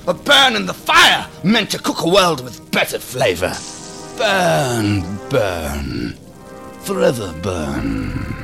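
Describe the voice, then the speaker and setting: An actor playing a flamboyant, deranged self-styled captain who declaims in florid, volatile style in a science fiction audio drama. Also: male voice, gravelly, exaggerated voice. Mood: brooding, grandiose. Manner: florid, declamatory, swinging between whisper-low and shouting. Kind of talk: theatrical monologue in sci-fi audio drama. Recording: music behind the voice.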